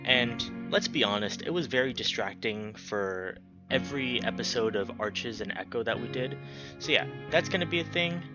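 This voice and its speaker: A man's voice: mid-range voice, expressive, normal speed, over music. A young man hosting a let's play and reading a visual novel aloud as dramatic narration. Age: young adult. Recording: dull lo-fi audio with background noise.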